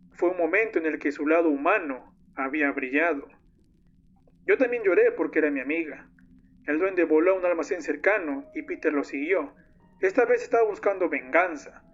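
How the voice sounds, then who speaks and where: A man's voice: on the high side, lightly acted. A man voicing a grieving, vengeful young man who tells a horror story like a solemn diary. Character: young man recounting his friend's monstrous downfall, grieving, vengeful. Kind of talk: scripted first-person horror narration. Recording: muffled lo-fi audio under loud music. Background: music.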